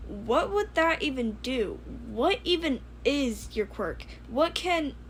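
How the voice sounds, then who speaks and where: A woman's voice: sits high, sing-song, slow, a little hiss or hum, heavily acted. A woman voicing an earnest, quirky, skeptical teenage boy gadget builder, chatty and questioning, in a story reading. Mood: earnest, wary.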